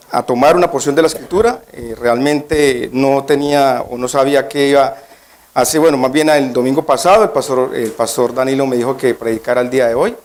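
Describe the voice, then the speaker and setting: A man's voice: mid-range voice, a little mellow. A man preaching as a guest in a church, at first nervous, then emphatic, often putting questions to the congregation. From Colombia. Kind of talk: church sermon.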